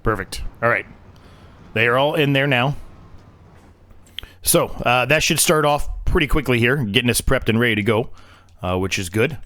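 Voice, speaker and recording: A man's voice: medium pitch, normal speed, long pauses, pushed and bright, no echo. A man losing his voice, giving casual, rambling commentary on a farming game with lots of fillers and restarts. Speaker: game streamer.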